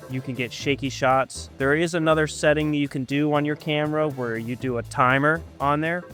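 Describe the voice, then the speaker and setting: A man's voice: medium pitch, fairly bright tone. A man patiently explaining camera basics outdoors at dusk, in a casual, hesitant way full of ums and uhs. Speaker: photographer teaching a guest.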